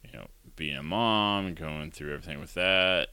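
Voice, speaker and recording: A man with an extremely deep, bright voice hosts a relaxed podcast conversation. He talks in a chatty, rambling way, restarting his sentences. The sound is dry and close. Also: normal speed, smooth, no room sound. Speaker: podcast host. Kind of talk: casual chat.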